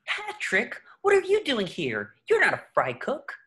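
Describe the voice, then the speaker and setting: A woman voicing an eager, excitable young male cartoon sea sponge with an earnest manner. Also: fairly high pitch, unhurried, exaggerated voice. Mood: competitive. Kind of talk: cartoon script voice acting.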